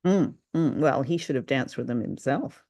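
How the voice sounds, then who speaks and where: Enthusiastic woman with a mellow, creaky voice. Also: a bass voice, moderate pace. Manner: enthusiastic, gushing. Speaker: podcast co-host reviewing old radio plays. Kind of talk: casual conversational review.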